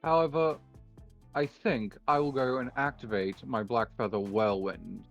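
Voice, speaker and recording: Man playing a slow, measured duelist rival. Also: mid-range voice, fairly even intonation, gravelly, dull audio, faint music behind. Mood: composed, intrigued. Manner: deliberate, pausing between phrases. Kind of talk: improvised anime-style roleplay dialogue, game commentary.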